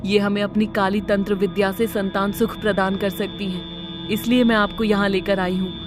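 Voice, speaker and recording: A woman's voice: medium pitch, level tone, moderate pace, over loud music, lightly acted. A woman playing a rich, childless wife in a cartoon horror story, earnest, eager and ruthless. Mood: pleading.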